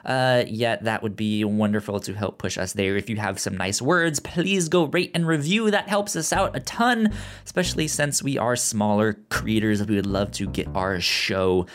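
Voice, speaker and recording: A man hosting a podcast in casual chat, speaking haltingly and often repeating the starts of words. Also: fairly low pitch. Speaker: podcast host.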